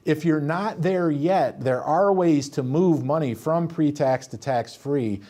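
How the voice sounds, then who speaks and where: A man's voice: fairly high pitch, a little slow, warm tone. A man, a financial advisor, explaining retirement tax planning steadily and walking through number examples.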